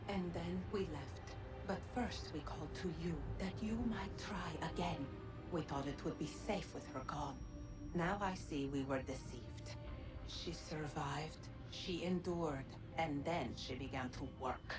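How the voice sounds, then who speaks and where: A woman playing an ancient godlike being in a video game, speaking in a solemn, formal, measured and regretful way. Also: deep voice, music in the background. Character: ancient female godlike precursor, grave and regretful. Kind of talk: dramatic video game cutscene acting.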